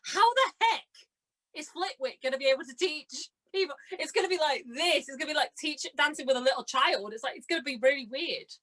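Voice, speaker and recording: Young woman guest chatting away, bubbly, really bright voice, kinda high, says like a lot. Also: a little fast. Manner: bubbly, excitable, says "like" often. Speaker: guest, amateur voice actor. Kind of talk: casual chat, telling anecdotes.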